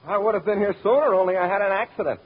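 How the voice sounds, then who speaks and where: A high, quick male voice from an actor plays a daffy stooge in vintage radio comedy. His delivery is brisk. The sound is thin and tinny with faint hiss. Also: close to an everyday voice. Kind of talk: 1930s-1940s radio comedy acting. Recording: tinny, slightly noisy old radio.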